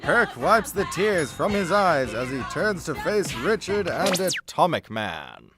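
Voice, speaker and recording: A man's voice: fairly high pitch, very animated, a little slow, over music. A man narrating a scripted comedy radio play, setting each scene as storyteller.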